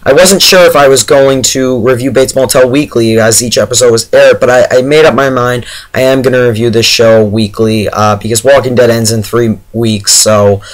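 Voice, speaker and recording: A man with a hoarse, tired voice giving a casual, chatty, somewhat halting TV episode review straight to his viewers. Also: medium pitch, fairly even intonation, low-quality recording. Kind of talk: casual spoken review to camera.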